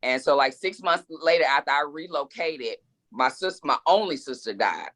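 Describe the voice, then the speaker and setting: A woman's voice: low voice, expressive, normal speed, a bit bright. A woman in her forties, a guest author in a casual interview, speaking reflectively and with emphasis, often repeating words as she thinks. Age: 40s.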